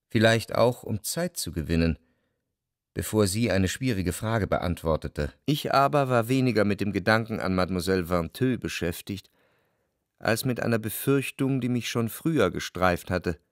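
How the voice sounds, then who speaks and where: Low-voiced, introspective jealous gentleman narrator, acted by a man. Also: no room sound, studio quality, lightly acted. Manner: measured, reflective delivery of long literary sentences. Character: introspective, jealous, well-to-do Frenchman recalling his love. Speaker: first-person narrator in a radio play. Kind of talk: literary radio drama narration.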